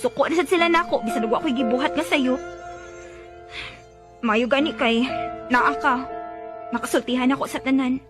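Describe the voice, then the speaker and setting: A woman's voice: sits high, normal speed, long pauses, natural voice. A Filipino woman with a Cebuano accent acting a wounded, tender young woman in a radio drama, plaintively. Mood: plaintive, hurt. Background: music.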